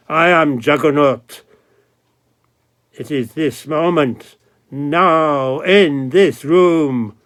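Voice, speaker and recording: An actor playing an ancient, grandiose male god of time, speaking in a solemn, incantatory way with long dramatic pauses. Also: medium pitch, a bit bright. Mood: solemn commanding. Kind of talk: dramatic poetic monologue.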